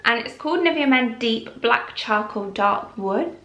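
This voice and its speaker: A young woman with a bright, clear voice chats her way through a haul in a casual, rambling way. Her talk sits a little high and stays calm and relaxed. She is recorded in a room with a noticeable echo. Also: a little slow. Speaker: vlogger.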